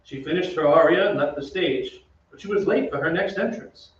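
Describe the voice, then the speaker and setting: Gothic storyteller played by a man, grave old-radio narration, slightly high, dull echoey stage sound. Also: normal speed, recorded from a distance, slight background noise, lightly acted. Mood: grave, suspenseful. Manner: dramatic, suspenseful storytelling. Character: opera tenor recounting eerie events, grave storyteller. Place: theatre stage.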